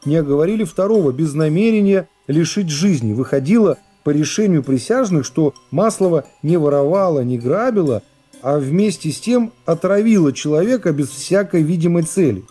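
Male narrator for literary radio drama; dark, soft-edged tone, scripted dramatic delivery. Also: fairly high pitch, expressive, normal speed, slightly rough, soft background music. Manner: dramatic reading of classic prose.

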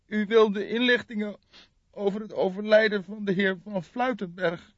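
A man's voice: sits high, moderate pace, no room sound, lo-fi sound, pitched above the performer's own voice, natural voice. A man voicing a distressed young woman, a doctor's assistant, anxious and hesitant, in an audiobook. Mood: reluctant.

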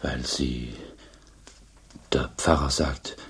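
Very low, husky herd boy. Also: fairly even intonation, very slow, exaggerated voice. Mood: hesitant. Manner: simple, gently questioning. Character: kind, naive young village herd boy. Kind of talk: radio drama dialogue.

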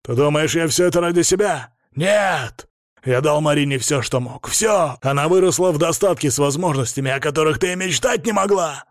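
A domineering older crime boss, played by a male audiobook narrator, explosive and self-justifying. He growls out emphatic phrases with his pitch pushed up. The recording is dry and close. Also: normal speed, dry recording, natural voice. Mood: angry self-justifying. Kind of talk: dramatic audiobook character voice.

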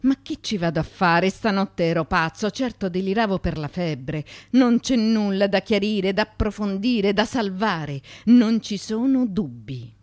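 A woman's voice: medium pitch, lively intonation, unhurried, few pauses, darkish tone, no room sound, studio quality, close to an everyday voice. A woman voicing a tormented young partisan, feverish and desperately in love, in an anguished, emphatic dramatic monologue. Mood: agitated despair. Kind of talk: dramatic monologue from a literary reading.

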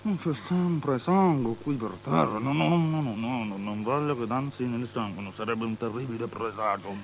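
A man's voice: mid-range voice, normal speed, vocal fry, a little hiss or hum, natural voice. An actor playing a lecherous, fearful biblical king in a theatrical drama, stammering and repeating himself obsessively between whispers and shrieks. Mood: anxious, excited. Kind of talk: avant-garde theatrical radio drama.

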